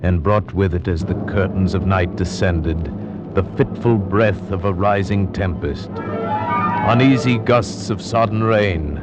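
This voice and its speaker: An actor playing an earnest, nervous gentleman who narrates in a solemn, measured manner in an old-time radio drama. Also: low-pitched. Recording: muffled old radio recording with music.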